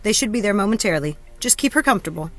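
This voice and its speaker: Lady reader doing emergency operator in a story, calm and practical, keeps caller steady. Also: medium pitch, very fast, soft background music. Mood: reassuring. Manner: calm, reassuring. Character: calm, businesslike emergency clinic phone operator.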